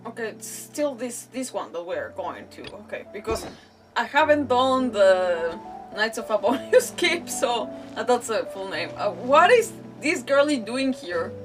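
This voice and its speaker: A woman's voice: a little high, sing-song, unhurried, far from the mic. A woman streaming a game with casual commentary, thinking aloud hesitantly and repeating words. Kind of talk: casual live gameplay commentary. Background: game music.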